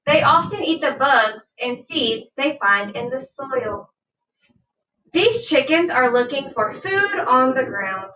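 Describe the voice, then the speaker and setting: High, bright woman reading to children, roomy sound. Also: expressive. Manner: deliberate, instructive, asks listeners questions. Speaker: teacher reading aloud to children. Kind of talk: children's nonfiction read-aloud with teaching asides. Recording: dull, roomy sound, distant from mic.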